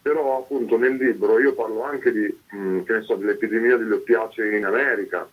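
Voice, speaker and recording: A man's voice: fairly low pitch, steady tone, brisk. A man being interviewed, explaining things informally in a discursive, analytical manner. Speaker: interviewed author. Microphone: phone call. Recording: muffled narrowband telephone line.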